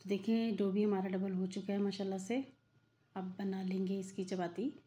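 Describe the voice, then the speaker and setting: A woman's voice: mid-range voice, fairly even intonation, moderate pace, dark tone, a little hiss or hum. A middle-aged woman, giving a chatty, filler-heavy voice-over for her home cooking video. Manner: chatty, repetitive, many fillers. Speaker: cooking channel host. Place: home kitchen.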